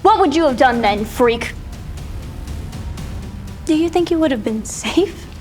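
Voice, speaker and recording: A woman's voice: high-pitched, expressive, measured pace, a little mellow, soft background music. A woman playing a spiteful, haughty young stepsister in an audio drama, with a sneering, scornful delivery. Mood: scornful, accusing.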